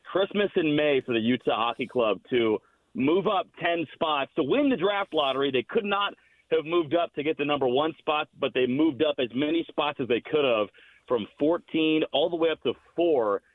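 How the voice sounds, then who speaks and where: An American man, a hockey play-by-play announcer, giving measured, emphatic explanations as a guest analyst in a sports interview. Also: a little high, animated, no echo. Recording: dull, phone-like lo-fi guest line.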